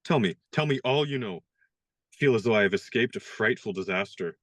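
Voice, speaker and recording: An actor in a radio play playing a young gentleman disguised as a valet, speaking in a dry, good-humored, polite way. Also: lowish voice, expressive, normal speed, dry recording. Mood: wry.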